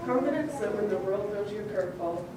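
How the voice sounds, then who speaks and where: A woman in her twenties, a graduating student, giving a ceremony speech with light jokes and warm thanks. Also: mid-range voice, expressive, fast talker. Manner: light, joking and tearful at times, frequent laughs. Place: large hall. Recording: echoey hall, far from the mic, audible noise.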